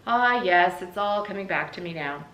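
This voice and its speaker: A woman's voice: fairly low pitch, expressive, normal speed, sharp tone, distant mic. A woman voicing a huge, pompous male cat, haughty and formal, in a children's book read-aloud. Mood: offended, haughty. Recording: roomy, noticeable background hiss.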